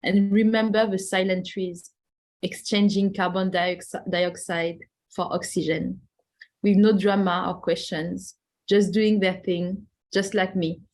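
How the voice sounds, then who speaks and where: Woman, podcast host, mid-pitched voice, emphatic poem reading. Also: little pitch movement, a little slow, darkish tone. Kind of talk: reading a poem aloud, then casual hosting.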